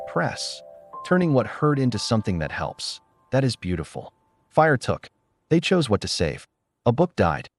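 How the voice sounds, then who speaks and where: A man reading a literary story in a measured, solemn manner, as a scripted narrator. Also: fairly low pitch.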